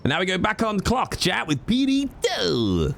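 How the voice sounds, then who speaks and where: A man's voice: high-pitched, wildly swooping pitch, moderate pace, vocal fry, a bit bright, soft background music. A man streaming a roleplay game, chatting to viewers with a stuffy nose from a cold, rambling and swearing freely. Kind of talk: casual chat to viewers while playing.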